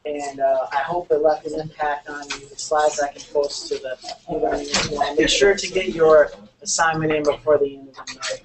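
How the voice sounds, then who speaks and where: A man's voice: on the high side, flat delivery, normal speed, few pauses. A man teaching a sociology class, explaining step by step and repeating key words. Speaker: sociology instructor. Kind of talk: classroom lecture. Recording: echoey room, mic far off, faint noise.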